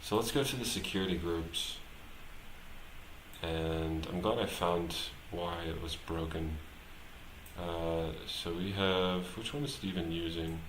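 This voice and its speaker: A man thinking aloud as he live-streams his programming work, talking casually and haltingly, muttering to himself as he goes. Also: low voice, steady tone. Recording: roomy echo, clear background noise, typing.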